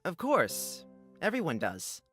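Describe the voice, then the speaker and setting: A woman's voice: sits low, wildly swooping pitch, slow pace, fairly bright tone, soft background music, heavily acted. A woman voicing an easygoing, earnest young male orphan traveller in a visual novel, plainspoken and reassuring. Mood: matter-of-fact.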